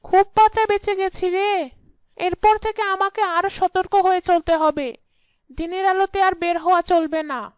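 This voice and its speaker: A woman voicing a fierce, bossy witch in a young wife's body, with a scolding, imperious manner, in an animated fairy tale. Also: very high voice, measured pace, no room sound. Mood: alarmed.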